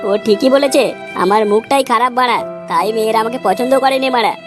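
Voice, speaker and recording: A man's voice: very high-pitched, fast talker, music in the background. A man voicing a gloomy young bachelor in a comedy cartoon, complaining in slangy talk. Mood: self-pitying. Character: luckless young bachelor man, whiny, gloomy, desperate to marry.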